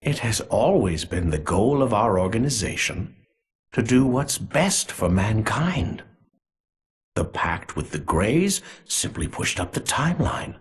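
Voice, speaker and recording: Cruel game villain, very husky and breathy, low-pitched, smug, theatrical, deliberate, relishing every horror. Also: male voice, big pitch swings, exaggerated voice. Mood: smug menace. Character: sinister, gleefully cruel conspirator man, smugly menacing. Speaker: villain character in a video game. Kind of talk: scripted villain monologue.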